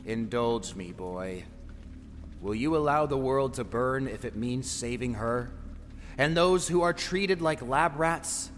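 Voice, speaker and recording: An actor playing a menacing, taunting, grandiose tormentor in an audio drama, swinging between whispers and shouts. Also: male voice, mid-range voice, expressive, slow. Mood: menacing, mocking. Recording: long hall reverb, faint noise.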